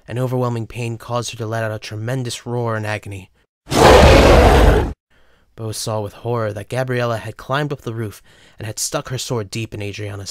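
A man narrates a dramatic story from a script. His voice is fairly low and the recording is dry and close. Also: no room sound.

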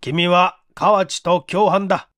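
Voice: mid-range voice, fairly even intonation, slow pace, a bit bright, dry recording, studio quality. A performer voicing a stern, overbearing male police investigation chief in a mystery audiobook, accusatory and commanding. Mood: stern pressure.

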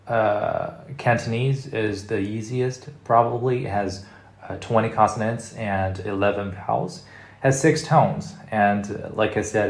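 Guy in his 30s, mandarin native, low voice, chatty and loose, lots of um and restarts. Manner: relaxed, thinks aloud with fillers and restarts. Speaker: language vlogger. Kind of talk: casual explainer talk to camera. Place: living room at home, indoors. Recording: echoey room.

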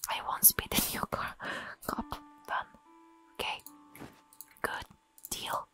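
Slow, soft-whispering woman gamer. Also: faint music behind. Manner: soft whispering, playful, giggly asides. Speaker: gamer playing a barista game. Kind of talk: whispered ASMR gameplay commentary.

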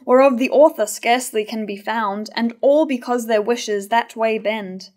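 A moralising chorus from a classical tragedy read by a young woman recites formal verse on stage. The voice is fairly high and speaks in measured, admonishing lines. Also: natural voice. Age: young adult. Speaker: actor in a staged reading. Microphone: stand mic at lectern.

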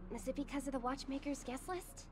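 An actress playing a gentle, worried young woman in a video game. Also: sits high, fairly quick, music in the background, lightly acted.

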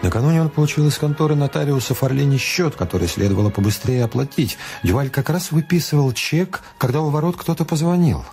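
A man's voice: on the high side, lively intonation, fast, few pauses, over music. A man giving dramatic scripted narration as the narrator of a radio play.

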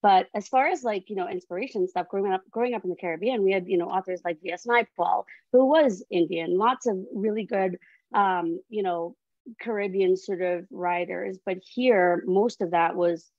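A middle-aged woman from Trinidad and Tobago, an author chatting casually on a panel, animated and self-deprecating. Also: mid-range voice, moderate pace, dry recording. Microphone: video call. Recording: dry, dull video call audio.

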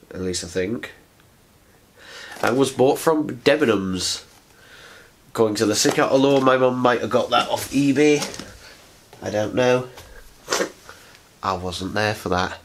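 A man's voice: lowish voice, fairly bright tone. Young guy, nasal with a cold, chatty self-mocking vlogger, close camera mic, faint hiss behind. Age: young adult. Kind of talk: casual unboxing chat. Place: living room at night, indoors. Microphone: camera on a tripod, sitting close.

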